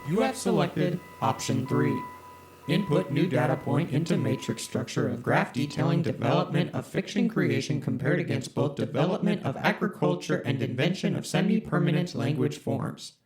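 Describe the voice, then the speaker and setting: A man's voice: fairly high pitch, expressive, moderate pace, darkish tone, soft background music, lightly acted, low-quality recording. A man voicing a robotic office computer. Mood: mechanical. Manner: stiff, robotic menu prompts. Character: automated office computer system, flat and unhelpful. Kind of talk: audio drama.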